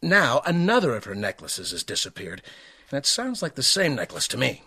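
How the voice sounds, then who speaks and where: A man's voice: medium pitch, wildly swooping pitch, moderate pace, sharp tone, husky, full character voice. A man playing a blunt, commanding insurance investigator with a hearty manner in a radio detective drama. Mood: insinuating, firm.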